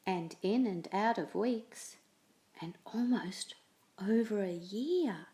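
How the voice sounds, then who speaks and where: A woman slowly reading a children's picture book aloud with an expressive, storytelling delivery. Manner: slow, expressive reading with lively pitch.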